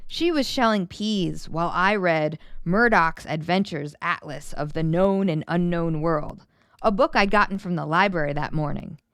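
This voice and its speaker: Clear-voiced woman reading a children's storybook. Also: fairly low pitch, no room sound, clean studio sound. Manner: animated, dramatic storytelling with drawn-out emphasis. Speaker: children's story reader and host. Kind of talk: expressive children's storybook read-aloud.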